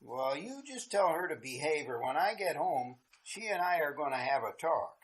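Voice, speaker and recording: Concerned husband on phone, played by a woman, low, lively pitch. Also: moderate pace. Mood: reassuring. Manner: reassuring, probing. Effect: phone call in story. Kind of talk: radio drama acting.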